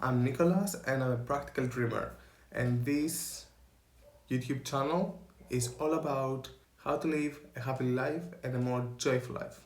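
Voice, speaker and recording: A young man with a Greek accent, talking earnestly to camera in slow, halting speech as he searches for words. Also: medium pitch, echoey room. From Greece. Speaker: vlogger.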